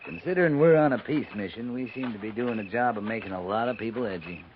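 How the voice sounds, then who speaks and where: A man playing a steady, weary cavalry captain in a 1950s radio western, speaking in a measured, dry, understated way. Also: lowish voice, close to an everyday voice. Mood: reflective. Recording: dull, noisy 1950s radio recording.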